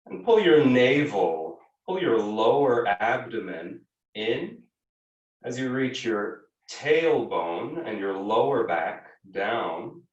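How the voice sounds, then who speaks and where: A man leads a yoga class in an echoey room, picked up from a distance. His mid-pitched voice moves slowly through gentle, measured instructions. He leaves frequent pauses between short phrases. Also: highs cut off. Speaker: yoga teacher.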